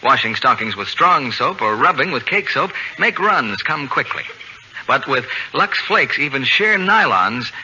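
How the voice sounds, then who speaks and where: A man working as an announcer, reading scripted sponsor pitches in a 1940s radio style. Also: big pitch swings, unhurried, pushed and bright. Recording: muffled old radio broadcast, faint noise.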